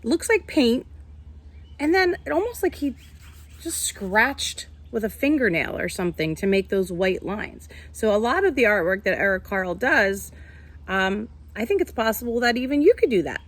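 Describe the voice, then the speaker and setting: Female primary-style teacher, fairly high voice, storytelling for young children with simple, instructive explanations. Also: very animated, moderate pace. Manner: instructive, addresses young listeners with simple questions. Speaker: teacher reading aloud.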